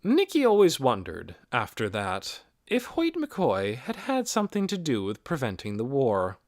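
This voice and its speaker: A man reading a story aloud as an audiobook narrator, in an expressive, measured way. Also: mid-range voice, no echo, clean studio sound. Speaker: audiobook reader.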